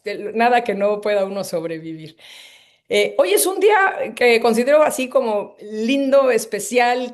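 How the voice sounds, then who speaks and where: A woman's voice: medium pitch, darkish tone. A woman in her fifties, originally from Mexico City, hosting an online presentation over a video call in an effusive, rambling way with many hesitations. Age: 50s. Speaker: webinar host. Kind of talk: online presentation to a live audience.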